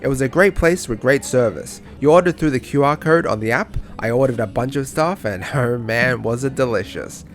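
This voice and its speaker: A man's voice: mid-range voice, moderate pace, hardly any pauses, over music. A man narrating his own travel vlog as a voice-over, chatty and self-mocking, with casual swearing. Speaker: travel vlogger.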